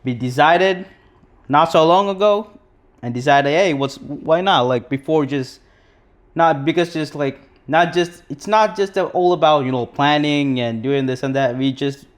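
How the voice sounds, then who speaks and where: A man's voice: fairly high pitch, unhurried. Rambling young man from Sri Lanka. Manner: rambling, many restarts and fillers. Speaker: podcast co-host. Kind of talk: casual live podcast chat.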